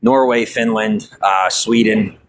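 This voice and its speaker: A man's voice: on the low side, fairly even intonation, takes it slowly, slight background noise. An American man with a slight Norwegian-tinged accent, rambling casually with many fillers and restarts. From United States. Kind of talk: casual monologue.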